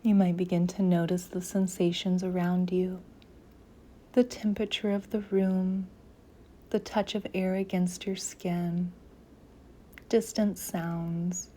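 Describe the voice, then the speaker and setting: A woman leading a guided meditation in a soft, gentle, soothing voice, with phrases often trailing into near-whispers. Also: lowish voice, little pitch movement, slow.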